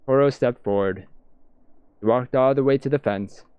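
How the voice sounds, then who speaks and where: A man's voice: mid-range voice, normal speed, clean tone. A man reading visual novel narration aloud, as in a let's-play. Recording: dull lo-fi sound, music behind.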